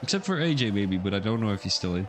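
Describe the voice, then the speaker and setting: A young man giving casual livestream commentary on a sim racing league, chatting loosely and then getting excited when calling incidents. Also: fairly low pitch, fast talker, a little hiss or hum. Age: young adult.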